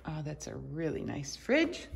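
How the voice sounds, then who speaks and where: A woman's voice: deep voice, very animated, a little slow. A woman giving a casual, unscripted house tour as a real estate agent, chatting and thinking aloud as she walks through the rooms. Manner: chatty, thinking aloud while walking around. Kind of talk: casual, unscripted walkthrough narration. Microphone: handheld camera or phone held by speaker. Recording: clearly noisy handheld walkthrough recording.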